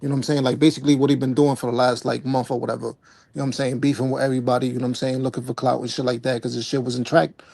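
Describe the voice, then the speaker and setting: A man's voice: mid-range voice, level tone, racing, gravelly, darkish tone, no echo. A man from the United States on a casual live stream, talking laid-back and filling his talk with "you know what I'm saying.". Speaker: guest battle rapper.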